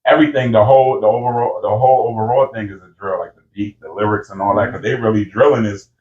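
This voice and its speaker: A man's voice: lowish voice, steady tone, fast. A man, a rapper being interviewed, talking in a laid-back, slangy way full of fillers, among friends in an indoor room. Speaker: rapper guest. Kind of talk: casual interview chat. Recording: roomy, distant, dull lo-fi sound.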